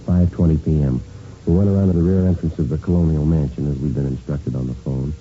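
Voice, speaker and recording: A vintage police radio drama detective, with an extremely low, very dark voice. He is deadpan and businesslike, clipping through the facts without ornament. The old recording is muffled. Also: fast, faint music behind. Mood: deadpan narration. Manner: deadpan, matter-of-fact. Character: terse, businesslike male police detective sergeant.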